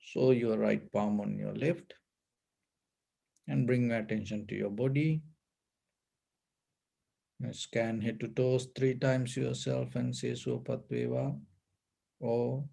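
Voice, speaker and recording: Calm sri guy teaching meditation, creaky voice, kinda mellow and soft-toned. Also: mid-range voice. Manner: calm, deliberate, instructing in short phrases. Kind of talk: guided meditation.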